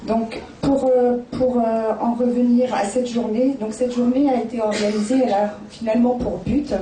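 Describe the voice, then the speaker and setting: A woman's voice: fairly high pitch, warm tone, slight background noise. A woman introducing an event to an audience, speaking hesitantly with frequent fillers. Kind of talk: public introduction speech. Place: bookstore event room. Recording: echoey room, far from the mic.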